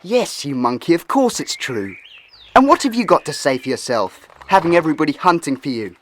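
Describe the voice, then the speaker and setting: A woman's voice: low voice, very animated, a little fast, slight background noise. A woman playing an affectionately scolding teenage older brother in an audiobook dramatization. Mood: teasing, scolding.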